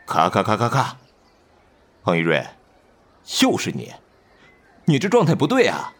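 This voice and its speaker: A man's voice: on the low side, wildly swooping pitch, unhurried, slightly rough, heavily acted. A man playing a bossy, exasperated film director in an audio drama, brusque and commanding. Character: bossy, exasperated male film director barking orders on set.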